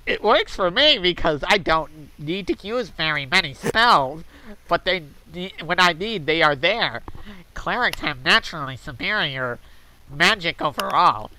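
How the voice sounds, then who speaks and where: A man's voice: a little high, animated, unhurried, sharp tone, exaggerated voice, poor audio. A man voicing a smug, defensive forum nerd, talking with his nose plugged. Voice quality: nasal, pinched nose. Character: smug nerdy male forum poster, defensive.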